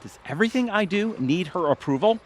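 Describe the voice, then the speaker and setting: Goofy henpecked middle-aged husband, audio comedy, bouncy highish voice, faint ambience behind. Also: male voice, expressive, a little fast, a little hiss or hum. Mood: indignant. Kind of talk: comedic audio drama acting.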